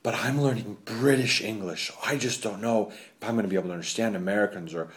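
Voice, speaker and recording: Low-ish guy host, rambly and chatty, casual talk. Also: fast talker. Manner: chatty, rambling, laughs at his own stories. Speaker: podcast host. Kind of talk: casual conversation.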